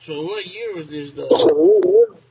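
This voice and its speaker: A man interviewing a guest, asking casual, unhurried questions full of fillers. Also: high voice, huge pitch swings, creaky, crowd noise. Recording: muffled lo-fi line with background noise.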